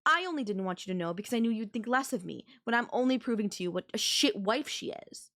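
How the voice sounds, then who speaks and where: A woman's voice: on the high side, expressive, fast talker, fairly bright tone. A woman playing a manipulative young babysitter who is obsessively in love with her employer, speaking in a conversational, possessive and defensive way in an intimate audio roleplay. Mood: bitter, insistent. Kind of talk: anime-style audio roleplay acting, intimate one-to-one.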